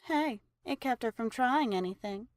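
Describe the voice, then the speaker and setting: Girl doing a sassy pegasus minion, high voice, lazy teasing drawl, dry sound. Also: expressive, normal speed, no room sound, close to an everyday voice. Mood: gleefully flippant. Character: cheeky, nonchalant female pegasus cult henchwoman.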